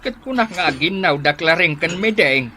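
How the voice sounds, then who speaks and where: A man's voice: a little high, expressive, normal speed, background noise, close to an everyday voice. A man playing a fretful but fond older father in an Ilocano comedy radio drama, with an Ilocano accent. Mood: weary, grumbling.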